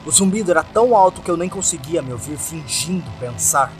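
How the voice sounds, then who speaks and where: Male horror narrator, Brazilian accent, slightly high register, very animated intonation, over music. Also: fairly quick. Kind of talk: scripted first-person horror narration.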